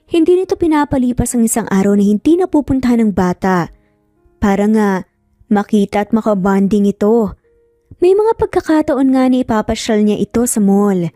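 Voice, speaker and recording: A woman's voice: fairly high pitch, no echo, clean studio sound. A woman with a Filipino accent reading a scripted, dramatic story as its narrator. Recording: soft music under the voice.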